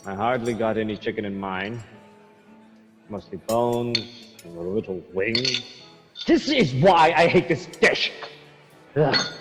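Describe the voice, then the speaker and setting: An actor playing an arrogant, easily offended priest, grumbling with contempt in a radio drama. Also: male voice, on the low side, sing-song, takes it slowly, long pauses, fairly bright tone, full character voice. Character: arrogant, scornful, easily offended Spanish-era friar priest. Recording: long hall reverb, music underneath.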